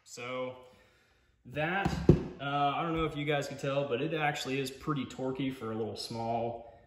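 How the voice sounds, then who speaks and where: A man's voice: medium pitch, steady tone, moderate pace. A man giving a casual hands-on tool review, explaining informally and thinking aloud as he goes, recorded at a garage workbench. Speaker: product reviewer, hobbyist car detailer. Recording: roomy echo, clear background noise, clicks.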